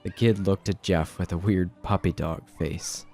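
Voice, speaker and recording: Very deep male horror narrator. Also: steady tone, moderate pace, darkish tone. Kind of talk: scripted horror story narration.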